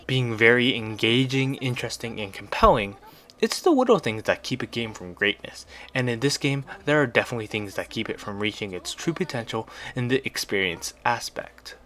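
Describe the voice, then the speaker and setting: A man narrating a scripted video review as a game critic, at a medium pitch. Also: lively intonation, moderate pace, a bit bright.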